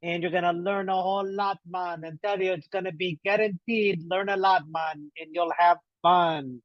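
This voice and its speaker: A man's voice: sits high, steady tone, normal speed, dry recording, muffled recording, pitched above the performer's own voice, lightly acted. A man hamming up a put-on Jamaican accent, tacking "man" onto laid-back phrases. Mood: breezy. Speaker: podcast host.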